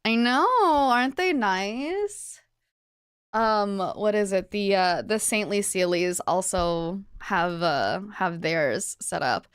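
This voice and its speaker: A woman's voice: mid-range voice, expressive, takes it slowly, clean tone, no room sound, studio quality. A woman livestreamer chatting casually with her viewers in a bubbly, chatty manner. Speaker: livestreamer, virtual streamer host.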